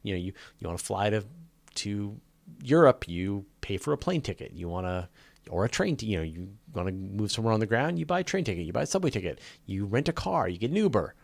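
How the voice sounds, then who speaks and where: Low-voiced man, dry close sound. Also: very animated, quick pace, vocal fry, dry recording. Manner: informal, joking, conversational. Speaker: host of a live space questions show. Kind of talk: casual live Q&A chat with viewers.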